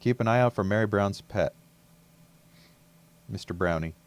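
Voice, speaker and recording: Let's play host, man: very deep voice, laid-back, mutters and curses at the game. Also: normal speed. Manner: laid-back, muttering to himself, occasional cursing. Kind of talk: casual gameplay commentary.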